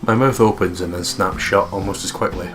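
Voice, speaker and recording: A man's voice: sits low, fairly even intonation, fairly quick, fairly bright tone. A man reading visual novel narration aloud as a let's play host. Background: game music with guitar.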